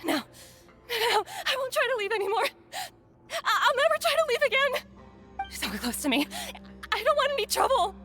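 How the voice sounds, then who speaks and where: An actress playing a terrified young female captive in a video game, pleading through tears in a shrill voice. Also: big pitch swings, moderate pace. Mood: panicked, sobbing. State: crying. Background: game music.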